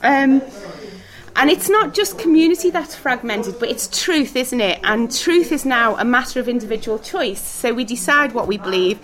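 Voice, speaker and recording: A woman's voice: on the high side, expressive, normal speed. Chatty woman leading a group talk. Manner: chatty, laughs often, asks the room questions. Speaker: church group teacher. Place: hall with background noise.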